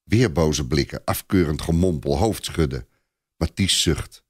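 A man's voice: a bass voice, measured pace, husky. A man narrating a scripted story in a dramatic style.

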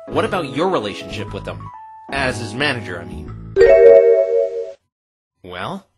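A woman's voice: rumbling low, sing-song, measured pace, loud music behind the voice, exaggerated voice. A woman voicing an earnest, easily flustered young male defense lawyer in a visual novel dub, stammering at times. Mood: probing. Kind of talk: visual novel fandub acting.

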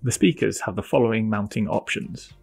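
A man with a lowish, creaky voice reads scripted product narration. He is measured and explanatory, with light music playing behind him. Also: darkish tone.

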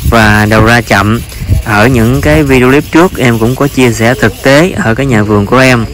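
A man's voice: mid-range voice, moderate pace, fairly bright tone. A man with a Southern Vietnamese accent narrates an informal vlog as a farmer, with hesitant, repetitive phrasing.

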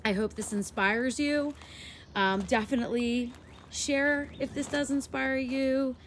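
A woman's voice: high voice, steady tone, unhurried, a little hiss or hum. A woman in her forties with a stuffy, nasal voice, chatting enthusiastically in a casual garden vlog, filmed outdoors on a handheld phone. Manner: chatty and enthusiastic, laughs easily. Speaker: garden vlogger. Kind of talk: casual walk-and-talk vlog. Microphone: handheld phone camera.

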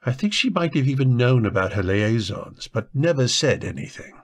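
An actor playing a guilt-ridden, anguished husband in a radio drama, speaking haltingly as emotion breaks through. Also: male voice, mid-range voice, very animated, slightly rough. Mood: subdued, bitter. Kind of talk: old-time radio drama acting.

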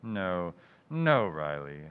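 An actor playing a pompous, smug middle-aged undertaker in a 1940s-style radio comedy, drawn-out and punning. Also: male voice, sits low, sing-song, clear, resonant tone, exaggerated voice. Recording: tinny, reverberant lo-fi audio.

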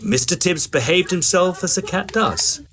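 An actor playing a polite, fussy Edwardian gentleman ghost hunter in an audio drama, with an English accent and a formal, slightly hesitant manner. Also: sits high, lively intonation, moderate pace, background noise. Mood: wry narrating. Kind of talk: audio drama acting and first-person narration.

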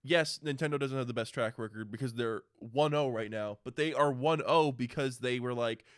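A man's voice: medium pitch, normal speed, no echo, studio quality. A man co-hosting a casual, opinionated discussion, stretching words for emphasis. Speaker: podcast co-host.

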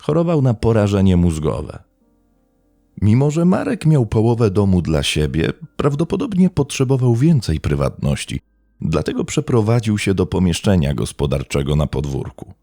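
A man reading scripted true crime narration in a measured, dramatic storytelling manner. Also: lowish voice, very animated, mellow, no room sound, clean studio sound. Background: faint background music.

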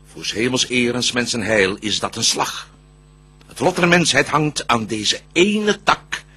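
Very raspy, wary devil. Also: male voice, mid-range voice, lively intonation, a little slow, pushed and bright, heavily acted. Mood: brooding, indignant. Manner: intense, theatrical declamation. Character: shrewd, wary male devil, conspiring accomplice in temptation. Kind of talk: Dutch verse radio drama acting.

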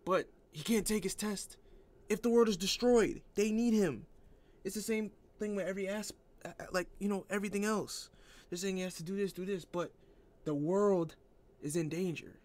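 A man's voice: high voice, steady tone, normal speed, darkish tone. A man giving casual opinion commentary as an online anime fan, in an argumentative, exasperated manner.

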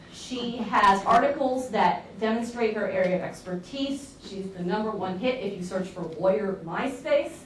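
A woman giving a lively, emphatic conference talk with slides in a large lecture hall, heard from a distant camera. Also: mid-range voice. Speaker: conference presenter. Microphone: far room camera. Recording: echoey hall from far off, faint hiss.

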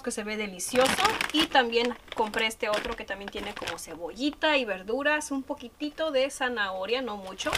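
Mexican lady vlogging her cooking at home, kinda high voice, pan sizzling loud in the background. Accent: Mexican Spanish. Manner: chatty, enthusiastic about food. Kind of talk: casual cooking narration. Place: home kitchen. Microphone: camera filming hands at the stove. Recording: kitchen noise. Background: frying and sizzling.

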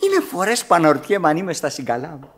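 An actor playing a put-upon, mocking manservant in a theatrical radio drama, sardonic and exclamatory. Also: male voice, on the high side, wildly swooping pitch. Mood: muttering.

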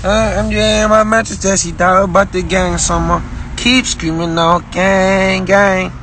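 A young man live-streaming from inside a car, shouting hyped and profane, straining his voice, on a phone held at arm's length. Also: high voice, level tone, measured pace, slight background noise. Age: young adult.

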